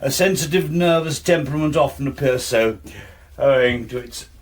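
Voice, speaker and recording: A man playing an eminent nerve specialist in a British radio drama, with an English accent, a husky voice and a measured, formal, clinical manner. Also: medium pitch, close to an everyday voice. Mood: authoritative, explanatory. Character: eminent, authoritative male nerve specialist, coolly controlled.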